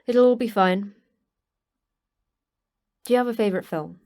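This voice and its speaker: A woman's voice: on the high side, normal speed, mellow, lightly acted. An actress playing the terse, dry, matter-of-fact female manager of a secret monster facility in a sci-fi horror audio drama. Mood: reassuring. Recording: clear background noise.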